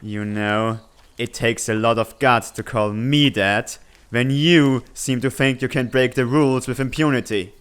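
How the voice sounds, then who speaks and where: Man playing earnest, mid-pitched teenage student Al. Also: fairly even intonation, a little slow, fairly bright tone, smooth, natural voice. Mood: reasoning, defensive. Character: earnest, responsible tech-savvy teenage student Al. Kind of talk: fan dub comic drama acting.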